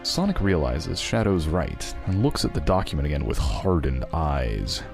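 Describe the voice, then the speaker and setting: A man narrates a scripted fan fiction story in a low voice. His intonation is highly animated, and music plays clearly behind him. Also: normal speed.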